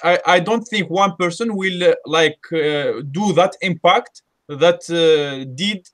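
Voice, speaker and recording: A man's voice: a little high, takes it slowly, a bit bright. A man with a Russian accent, speaking English as a second language in a casual group chat, hesitant with many fillers and restarts. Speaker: host.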